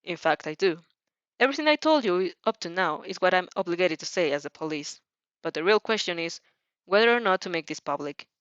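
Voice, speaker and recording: A woman voicing a stern, formal male dog-like police chief in an audio story, with measured speech. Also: lowish voice, animated, a bit bright, no echo, muffled recording. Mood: formal, conceding.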